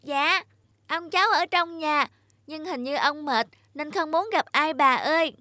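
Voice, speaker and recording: Cartoon little kid, adult female performer: very high voice, eager, sweet, respectful to elders. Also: a little slow, sharp tone, dry recording, clean studio sound. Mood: puzzled. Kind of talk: cartoon child acting.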